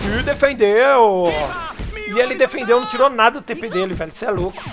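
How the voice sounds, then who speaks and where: A hoarse man with a Brazilian Portuguese accent, commenting excitedly on his own soccer game and calling out special moves. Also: extremely high pitch, fairly quick. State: hoarse from a cold. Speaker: gaming YouTuber. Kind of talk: let's play commentary. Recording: game music behind. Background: game music.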